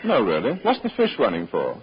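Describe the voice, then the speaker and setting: A man's voice: on the low side, brisk, slightly rough, exaggerated voice. A man playing a jovial, chatty campaign sidekick in a 1940s radio comedy, on a muffled, hissy old broadcast with an audience. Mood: chatty, amused. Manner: jolly, animated.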